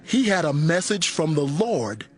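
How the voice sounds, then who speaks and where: A man's voice: a little high, big pitch swings, unhurried, faint music behind. A man playing a brusque, commanding army officer newly made king in a dramatized audio Bible. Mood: guarded.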